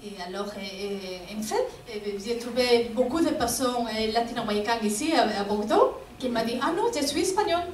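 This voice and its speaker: Woman professor answering questions, high-ish voice, hesitant, lots of fillers; recorded from afar in a reverberant auditorium. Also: lively intonation, normal speed, hardly any pauses. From Spain. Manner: hesitant, many fillers, frequent tag "non?". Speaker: guest speaker, professor. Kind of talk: lecture Q&A answers. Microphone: distant. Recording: echoey hall, distant mic, faint noise.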